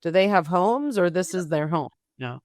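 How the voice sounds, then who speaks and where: A woman's voice: on the low side, expressive, normal speed, darkish tone, dry recording, studio quality. A woman hosting a casual interview, talking informally and thinking aloud as she goes. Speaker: interview show host.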